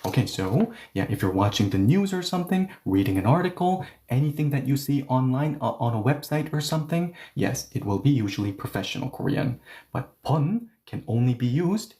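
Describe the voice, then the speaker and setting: A man's voice: mid-range voice, darkish tone. Man explaining patiently, full of "okay, so". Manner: switches between explanation and slow model readings. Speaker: Korean language teacher. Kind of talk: online language lesson.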